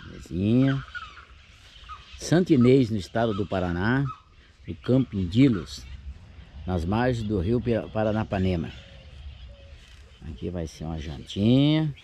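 A man's voice: on the low side, expressive, a little slow, pauses often, warm tone, slight background noise. A man in his sixties with a Brazilian accent, narrating a casual camping vlog outdoors. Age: 60s. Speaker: camping vlogger. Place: outdoors on a grassy lakeside campsite.

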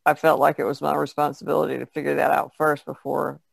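A woman speaks casually as a podcast guest. Heavy vocal fry runs through her low voice, and the recording is dry and free of echo. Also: level tone, fast talker. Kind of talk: casual chat.

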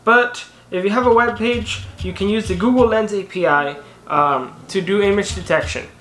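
A man's voice: sits high, normal speed, a bit bright. A young man rambling casually through a tech recap on his channel, correcting himself often and using lots of fillers. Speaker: tech vlogger. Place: indoor room in front of a banner. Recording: echoey room.

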